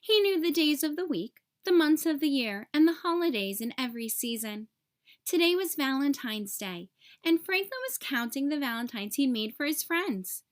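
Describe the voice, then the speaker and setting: A woman narrating a children's storybook aloud in an expressive, clearly phrased way. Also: high-pitched, moderate pace.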